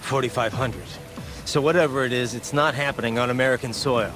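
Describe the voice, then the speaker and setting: A determined game hero played by a man, a cyborg soldier with a mid-pitched voice. He talks in terse, grave commands, urgent and resolute, over prominent music. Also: a little fast, natural voice. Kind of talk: dramatic video game acting.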